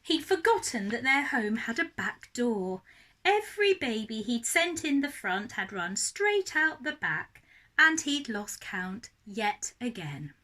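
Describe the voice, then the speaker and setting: A woman reading a story aloud as a primary teacher would, expressively and deliberately for young children. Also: a little high, very animated, pushed and bright.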